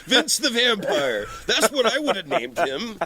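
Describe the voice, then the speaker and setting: A man's voice: high voice, big pitch swings, unhurried, crowd noise. A man chatting casually as a podcast guest on a porch, joking and self-deprecating in an informal way. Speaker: podcast guest, independent filmmaker. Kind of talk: casual conversational podcast chat. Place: outdoors on a porch.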